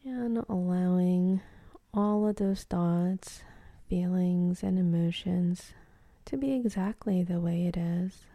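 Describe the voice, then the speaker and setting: Woman, meditation guide, very dark mellow tone, gravelly fry, soft half-whisper, long pauses. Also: on the low side, no room sound.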